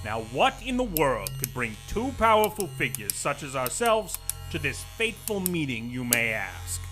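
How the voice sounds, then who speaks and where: An actor playing a pompous, bullying corporate vice president in an audio drama, imperious, condescending and sarcastic. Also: male voice, mid-range voice, sing-song, normal speed, fairly bright tone, soft background music. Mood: pompous, scornful. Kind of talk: theatrical audio drama acting.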